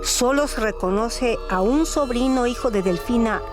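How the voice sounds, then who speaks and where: A woman's voice: on the high side, moderate pace. A woman reading scripted narration as the host of a radio show. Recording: loud music bed behind voice. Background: music.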